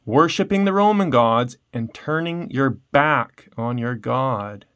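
A stern, proud Roman emperor from a storybook, acted out by a man reading aloud. He speaks in drawn-out, emphatic phrases and commands everyone before him. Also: mid-range voice, animated, a bit bright.

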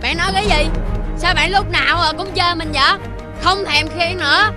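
A woman's voice: extremely high pitch, normal speed. A woman voicing an earnest, whiny young pup, a childlike playmate in a children's cartoon. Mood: grumbling. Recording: music behind voice.

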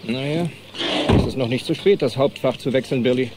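A man's voice: mid-range voice, fairly quick, very noisy, lightly acted. An actor playing a weary middle-aged male paleontologist, dry and understated, courteous but reluctant, in film-style dialogue. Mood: dry, resigned. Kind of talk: German-dubbed film dialogue.

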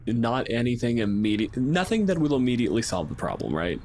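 A man's voice: medium pitch, sing-song, a little fast, a little mellow, a little hiss or hum. A man narrating a tabletop game as game master in a casual, improvised, wry and descriptive way. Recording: music underneath. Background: background music.

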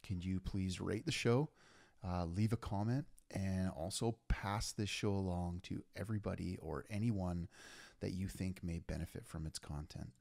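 A man's voice: sits low, normal speed, creaky, a little mellow, no echo. A man hosting a podcast, talking earnestly and enthusiastically with plenty of fillers and restarts. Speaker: podcast host. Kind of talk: casual podcast intro and interview.

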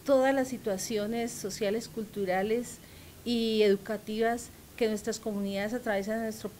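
Woman, mid pitch, clear, purposeful, faint background noise. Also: darkish tone, low-quality recording. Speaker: workshop participant. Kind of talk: interview talk.

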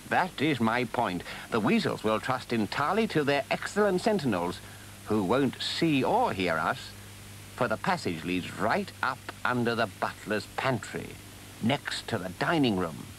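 Older male badger, acted by a man, storybook: grave, commanding, very expressive intonation, slight hiss. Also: mid-range voice, a little slow, rough recording. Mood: plotting, confident. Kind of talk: animal storybook character voice.

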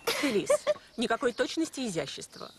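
A woman voicing a stern, exacting ballet teacher in an animated film, curt and dryly critical. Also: a little high, fairly quick, very noisy. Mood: scathing.

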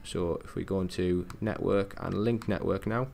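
Low, gravelly tech tutorial man. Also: fairly even intonation, moderate pace, darkish tone, soft background music. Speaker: technical support presenter. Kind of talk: casual step-by-step software tutorial narration.

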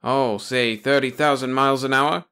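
A man's voice: medium pitch, fairly even intonation, normal speed, sharp tone, clear, resonant tone, pitched above the performer's own voice. A man voicing a dim, meek Martian-Texan alien sidekick who protests mildly in a comic pulp sci-fi audiobook.

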